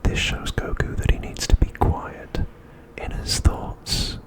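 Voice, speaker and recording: Whispering man, ASMR narration. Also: takes it slowly. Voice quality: breathy, unvoiced whisper. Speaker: ASMR host.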